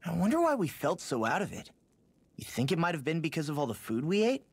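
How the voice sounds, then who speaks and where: A man's voice: a little high, huge pitch swings, fast talker, vocal fry, breathy edge, full character voice. An actor playing an easygoing, practical young male adventurer in a video game, casual and colloquial. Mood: puzzled. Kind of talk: video game cutscene acting. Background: music.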